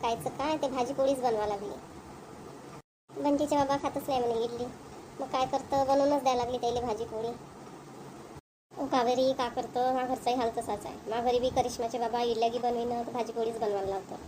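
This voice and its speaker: A woman's voice: fairly high pitch, steady tone, fairly quick, background noise, lightly acted. A woman voicing a homely, chatty village housewife and mother in a cartoon comedy, with a Varhadi Marathi accent. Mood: neighbourly. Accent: Varhadi Marathi of Vidarbha. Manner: chatty, homely, repetitive phrasing. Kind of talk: amateur cartoon comedy dialogue.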